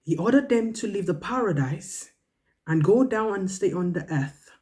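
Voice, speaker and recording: A woman's voice: medium pitch, lively intonation, moderate pace, a little mellow. A woman storyteller speaking to children, drawing moral and religious lessons in an earnest, emphatic, instructive manner.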